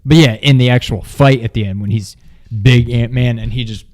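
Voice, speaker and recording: A man co-hosting a movie review in informal, unscripted chat, often halting and trailing off mid-thought. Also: mid-range voice, steady tone, darkish tone. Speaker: film review co-host. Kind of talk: casual unscripted movie discussion.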